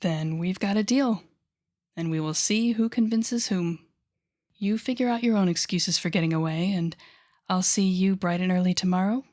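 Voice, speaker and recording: A woman performs a telepathic villainess for an audio roleplay. Her voice sits a little low for a woman. She is haughty and sardonic, teasing the listener with sighs and deliberate pauses, a softer heart hidden under the scorn. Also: lightly acted. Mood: smug, flirtatious. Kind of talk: intimate audio roleplay acting addressed to the listener.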